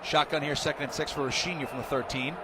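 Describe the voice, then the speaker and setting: A high-pitched American man calls football live on the radio. He describes each play in excitable, clipped phrases, with clear stadium noise around him. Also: quick pace. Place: press box high above a stadium. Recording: loud stadium noise, outdoor broadcast.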